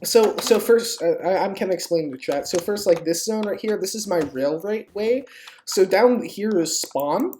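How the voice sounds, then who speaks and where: A woman's voice: mid-range voice, expressive, normal speed, warm tone. A woman giving casual live game stream commentary, informal and sweary, jokingly pleading with viewers. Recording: clearly noisy home stream, keyboard clicks.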